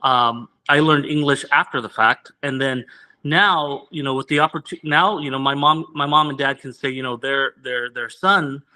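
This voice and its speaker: A man's voice: mid-range voice, moderate pace, sharp tone, low-quality recording. A man whose first language is Vietnamese, a candidate earnestly answering interview questions with many restarts. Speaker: political candidate.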